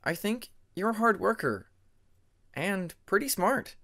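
A man voicing a gentle, apologetic young male dragon in an earnest way for a fan fiction audiobook. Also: high-pitched, big pitch swings, measured pace, pitched above the performer's own voice, exaggerated voice. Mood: meek, earnest. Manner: meek, earnest.

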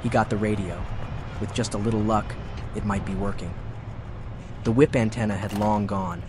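A man with a husky voice reading scripted story narration for an audiobook. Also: fairly low pitch, fairly even intonation, moderate pace, background noise.

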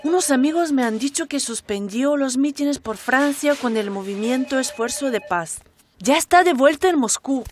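Radio serial: helpful, serious female researcher, high voice, natural dialogue delivery. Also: animated, normal speed, slight background noise. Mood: matter-of-fact. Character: serious, helpful woman researcher bringing news.

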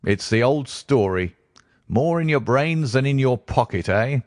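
A man voicing a brusque, bustling gentleman who asks blunt questions in an audiobook. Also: mid-range voice, expressive, a little slow, no room sound, studio quality, close to an everyday voice. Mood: businesslike. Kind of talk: audiobook character reading.

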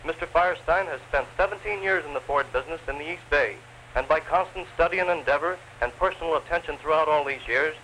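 A man's voice: fairly high pitch, a bit bright. A man narrating a 1930s newsreel advertisement in a declamatory salesman's delivery. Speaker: promotional film narrator. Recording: noisy 1930s film soundtrack.

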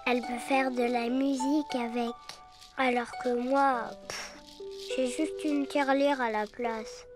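A performer voicing a candid little boy bear cub in a preschool cartoon, with a childlike, expressive way of talking. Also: high voice, unhurried. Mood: sulky envy. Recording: music under the voice.

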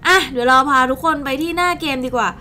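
High-pitched young woman casually hosting gameplay. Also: fast, sharp tone, a little hiss or hum. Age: young adult. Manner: chatty and informal, exclaiming in play. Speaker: game channel host. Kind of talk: casual live gameplay commentary. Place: indoor streaming setup at a desk.

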